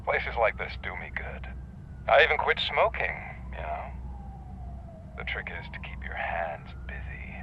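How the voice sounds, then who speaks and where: A man playing a menacing prisoner in a video game, taunting and insinuating with sly calm, often dropping to a whisper. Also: fairly low pitch, unhurried, lo-fi sound. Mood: sly, amused.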